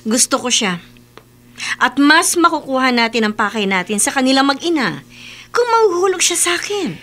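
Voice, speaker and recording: A woman's voice: high-pitched, sing-song, moderate pace, a bit bright. A woman playing a young, scheming, smitten con artist in a radio soap, talking in a coaxing way. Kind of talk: Philippine radio soap opera acting.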